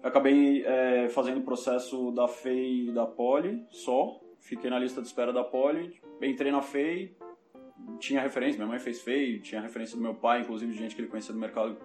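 A man's voice: mid-range voice, fairly even intonation, a little fast, darkish tone, faint music behind. Reflective young man, Paulista accent, roomy echo. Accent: Paulista Brazilian Portuguese. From São Paulo, Brazil. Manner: reflective, self-correcting, many restarts. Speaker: guest. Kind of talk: casual podcast interview.

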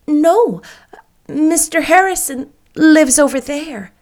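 A woman putting on a gushing, excitable young girl. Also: high-pitched, takes it slowly, pitched above the performer's own voice, heavily acted. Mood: flustered. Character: eager imaginative young girl teacher. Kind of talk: audiobook character reading.

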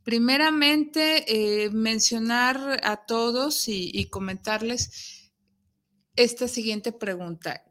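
A woman's voice: a little high, takes it slowly, a bit bright. A woman with a Mexican accent hosting a talk show, presenting conversationally to her audience in an explanatory way. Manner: explanatory, poses rhetorical questions. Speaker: host of an interactive talk show.

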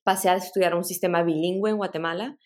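A woman's voice: lowish voice, steady tone, fast. A middle-aged woman from Peru, guest on a podcast interview, telling her life story thoughtfully with small laughs and restarts. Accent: mixed Latin American Spanish. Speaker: guest, tech product director.

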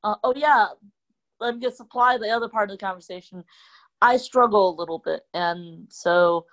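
A woman's voice: medium pitch, a bit bright. Hesitant woman, second-language English speaker. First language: Cantonese. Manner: hesitant, halting. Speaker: guest, channel creator. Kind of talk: casual conversation.

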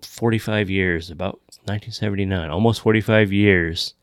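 A man's voice: low-pitched, steady tone, moderate pace, dry recording, studio quality. A man hosting a podcast, chatting casually and making show announcements. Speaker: podcast host.

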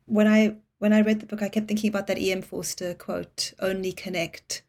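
A woman hosting an interview, speaking warmly and appreciatively to her guest. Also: medium pitch, level tone, brisk. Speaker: host.

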